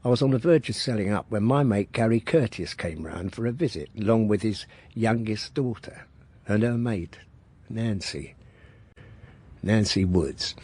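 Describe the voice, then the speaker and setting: Low-voiced car dealer reminiscing. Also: male voice, darkish tone, breathy edge, exaggerated voice. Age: middle-aged. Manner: colloquial, matter-of-fact storytelling. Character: boastful middle-aged working-class male car dealer reminiscing. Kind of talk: radio drama monologue, reminiscing.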